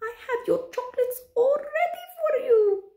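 A woman voicing a cruel, commanding Grand High Witch from a children's storybook, with a theatrical, drawn-out delivery. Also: lively intonation, mellow, echoey room. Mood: syrupy, coaxing.

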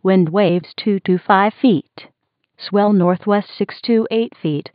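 A woman's voice: mid-range voice, slow pace, dry recording. A synthetic woman's voice reading weather bulletins in a mechanical, impersonal manner on a muffled radio recording. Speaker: automated weather radio announcer.

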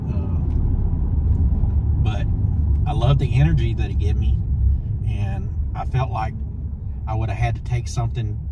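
A man's voice: lowish voice, recorded from a distance. A middle-aged man chatting casually while driving, picked up by a phone across the car. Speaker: driver and vlog co-host. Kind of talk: casual chat. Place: driving inside a moving car. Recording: dull sound, loud car noise.

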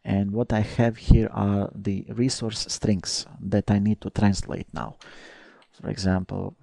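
A young man from Croatia, a native Croatian speaker, talking through a software screencast step by step with frequent "uh" fillers. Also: lowish voice, little pitch movement, unhurried, gravelly, no echo, studio quality. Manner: hesitant, many "uh" fillers, step-by-step narration. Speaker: software tutorial presenter. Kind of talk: screencast tutorial.

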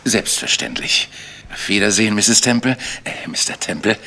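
An actor playing a hesitant, polite village church organist in a radio crime drama, halting and flustered. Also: male voice, lowish voice, lively intonation, gravelly. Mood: polite, uneasy.

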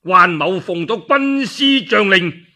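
A man's voice: high-pitched, animated, slow pace, bright, forceful tone, heavily acted. A Cantonese-speaking man voicing an honourable, unbending warrior general in a storytelling radio play, formal and firm. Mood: stern, resolute.

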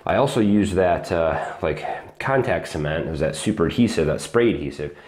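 A man in his thirties giving a casual walkthrough of his basement renovation, talking in a halting way with many ums and restarts, on a lapel mic. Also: sits low, expressive, a little mellow. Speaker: DIY home renovation vlogger. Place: unfinished basement, indoors. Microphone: lapel mic, handheld camera.

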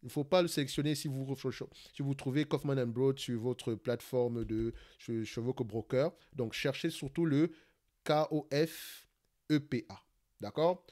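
A man with a Cameroonian French accent who hosts an investing channel, talking quickly through a live screen-share stock analysis, often restarting phrases and checking in with "d'accord?". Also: medium pitch, a little mellow. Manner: fast talker, searches for words, many restarts and "d'accord?".

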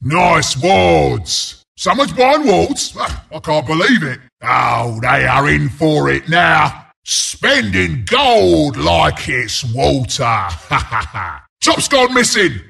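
An actor voicing a scrappy, cocky porcupine brawler as a video game announcer, with a London Cockney accent, a gravelly rasp and cheeky, blunt banter. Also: male voice, a little high, very animated, slow pace, sharp tone, raised voice, full character voice. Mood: cheeky, brash. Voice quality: gravelly, raspy.

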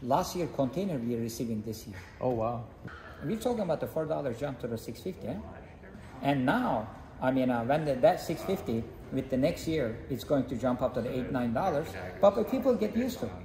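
A man's voice: mid-range voice, very animated, very slow, warm tone, reverberant, low-quality recording. A man working at a store, matter-of-factly explaining rising material prices to a customer. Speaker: store worker. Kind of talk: customer conversation. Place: large flooring store.

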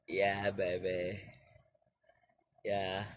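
A Filipino man in his forties vlogging excitedly from a bedroom into a phone held close, in halting English mixed with Filipino. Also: low voice, a little hiss or hum. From Philippines. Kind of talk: casual selfie vlog. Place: small bedroom, indoors. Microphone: phone camera held close.

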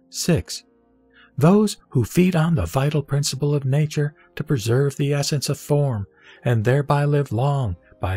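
A man reciting Buddhist scripture from a script in a solemn, measured, formal delivery. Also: on the low side, darkish tone, dry recording. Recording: dry voice over background music. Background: music.

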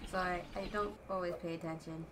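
A woman's voice: on the low side, steady tone, brisk, noisy background. A woman chatting casually and telling stories while playing a board video game with her partner. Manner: chatty, giggly, storytelling. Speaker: co-player. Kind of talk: casual gaming chat. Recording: game music underneath.

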